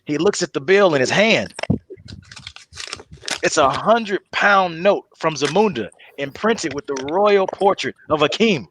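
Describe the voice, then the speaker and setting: A man's voice: on the high side, expressive, a little slow, noisy background. A man narrating a comedy table read, reading stage directions with loose improvised asides.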